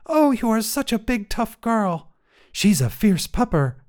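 A man's voice: high-pitched, very animated, measured pace, darkish tone, pitched above the performer's own voice. A man voicing delighted young women who coo over a big dog in gushing, sing-song baby talk for a storybook. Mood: playful cooing. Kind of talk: storybook character voice in audio fiction.

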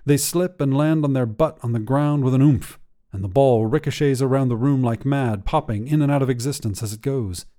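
A man hosting a fiction podcast and reading a short story in a measured, low-key first-person voice. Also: mellow. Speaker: podcast host and narrator. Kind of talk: scripted fiction narration.

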